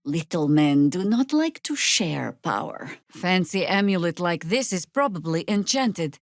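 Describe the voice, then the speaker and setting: An older female druid warrior from a fantasy game. Her voice is on the low side and weathered, and she takes her time with every phrase. She is wry and commanding, with old-fashioned formal wording, in a very dry, close studio sound. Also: very animated, a little slow, no room sound. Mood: wry, resolute. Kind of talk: video game character acting.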